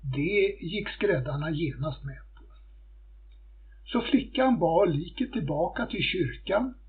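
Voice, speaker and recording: A man's voice: fairly high pitch, lively intonation, breathy edge. A man reading a scripted folktale as a storyteller. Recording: muffled phone-like audio.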